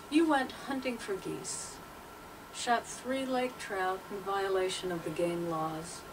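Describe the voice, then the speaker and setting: An older woman poet and teacher speaking and reading aloud with measured, deliberate phrasing. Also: medium pitch. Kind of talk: interview talk and poetry reading aloud. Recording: distant mic, clearly noisy background.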